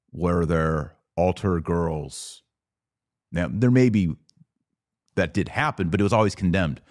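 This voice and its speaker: Male podcast host, low register, very animated delivery; didactic, emphatic religious explainer with pointed pauses. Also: no echo, studio quality. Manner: didactic, emphatic, with deliberate pauses and repetition for stress. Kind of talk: religious commentary, explanatory monologue.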